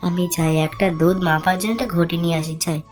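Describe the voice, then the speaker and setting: A woman voicing an excitable, practical village housewife in a cartoon, homely and exclamatory. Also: on the low side, quick pace, soft background music, close to an everyday voice. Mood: eager. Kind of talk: cartoon voice acting.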